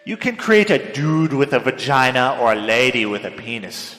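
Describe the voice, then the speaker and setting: A young man delivers a theatrical dramatic reading of a written piece in a melodramatic manner, swinging between hushed and loud. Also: medium pitch, sing-song, normal speed. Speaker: dramatic reader. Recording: echoey hall with long reverb, music behind. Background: music.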